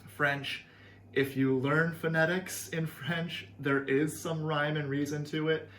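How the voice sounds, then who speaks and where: A man's voice: fairly high pitch, level tone, takes it slowly, fairly bright tone, a little hiss or hum. Earnest Canadian man in an echoey room. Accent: Canadian English. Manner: friendly, earnest, some fillers. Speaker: language teacher. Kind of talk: casual video lesson. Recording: echoey room, faint ambience.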